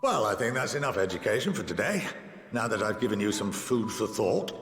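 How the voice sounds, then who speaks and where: A man playing an erudite, measured scholar and statesman in a video game, speaking formally, like a lecture, with gentle wry humour. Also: on the low side, sing-song. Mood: genial, formal. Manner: formal, eloquent, didactic. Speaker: game character, scholar and council member. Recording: game audio with echo, loud music. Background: loud music.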